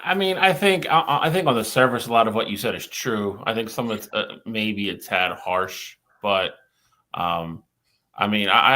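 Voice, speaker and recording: A man co-hosting a sports podcast, measured and hesitant, often restarting his sentences. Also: lowish voice, pushed and bright. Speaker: podcast co-host. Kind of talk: casual sports discussion.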